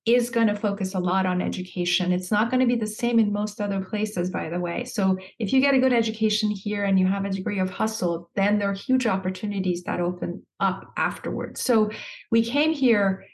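A woman's voice: medium pitch, fairly quick. A middle-aged woman from Iran, an education nonprofit leader, answering interview questions earnestly and articulately, with fillers.

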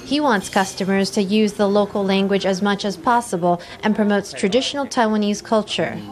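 A woman narrating a news feature as a reporter in a clear, steady voice-over. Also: mid-range voice, fairly quick.

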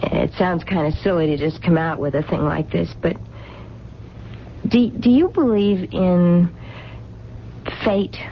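An actress playing a hopeful working-class waitress in a radio drama, with colloquial diction and an earnest, pleading way of talking. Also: female voice, lowish voice, takes it slowly, long pauses. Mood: tender, hopeful. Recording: dull, noisy 1970s radio recording.

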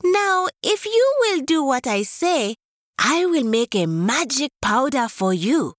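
A woman putting on a sneaky little tortoise, high sweet voice, storybook, lively. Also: takes it slowly, dry recording, clean studio sound, heavily acted. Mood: sly. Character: small timid but sneaky female tortoise.

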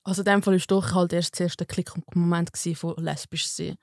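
A woman in her twenties from Zurich with a Swiss German accent, hosting a casual podcast chat, frank, quick to laugh and swearing casually. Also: lowish voice, steady tone, a little mellow, dry recording, studio quality. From Zurich, Switzerland. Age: 20s. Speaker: podcast host.

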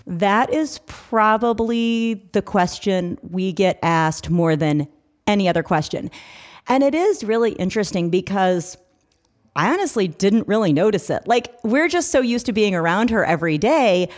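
A woman's voice: mid-range voice, expressive, moderate pace. A middle-aged woman hosting a podcast, talking casually and earnestly as a parent giving practical advice. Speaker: podcast host.

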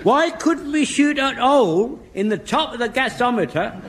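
Excitable, high-voiced old English corporal. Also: male voice, normal speed. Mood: eager. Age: older. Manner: rambling, excitable, indignant. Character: excitable, rambling old English army corporal, eager veteran. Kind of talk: British sitcom acting before an audience. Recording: noisy old radio comedy recording.

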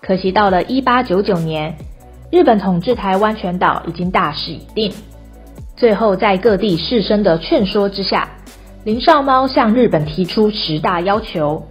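A woman's voice: mid-range voice, slightly rough, muffled recording. A woman with a Taiwanese Mandarin accent narrating a scripted story for radio. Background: music.

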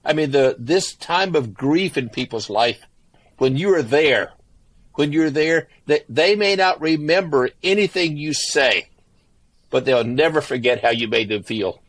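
A man's voice: on the high side, lively intonation, unhurried, fairly bright tone, no echo. A man who is an author and former pastor, speaking earnestly in a podcast interview and often repeating himself as he restarts phrases.

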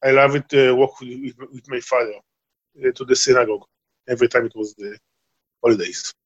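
Halting Israeli man on a muffled line. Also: medium pitch, steady tone. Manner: halting, searching for English words, frequent fillers. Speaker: guest. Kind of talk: remote interview conversation.

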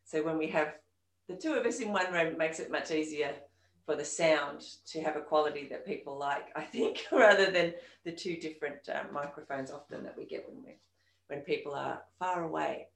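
A woman's voice: low voice, normal speed, creaky. A woman who hosts a podcast. She chats with her guest and encourages him along. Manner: chatty, encouraging interviewer. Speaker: podcast host. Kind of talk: podcast hosting and interviewing. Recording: echoey room, faint music.

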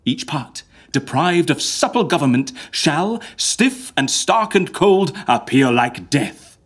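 A man's voice: fairly high pitch, big pitch swings, slow, slightly rough, full character voice. A man playing a grandiose schemer in a Shakespearean audio drama, declaiming in florid phrases. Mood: solemn, grand. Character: grandiose Shakespearean schemer arranging faked deaths, male.

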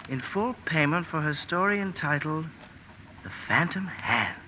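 An actor playing an eager, teasing young romantic, in the emphatic manner of old-time radio drama. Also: male voice, on the high side, unhurried. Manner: animated, emphatic. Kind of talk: 1940s-50s radio drama acting. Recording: noisy vintage radio broadcast recording.

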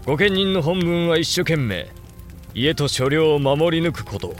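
Grave, resolute samurai lord. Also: male voice, fairly high pitch, animated, faint music behind. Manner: grave, deliberate, commanding. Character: brooding, resolute samurai lord and elder brother. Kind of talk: Japanese period audio drama acting.

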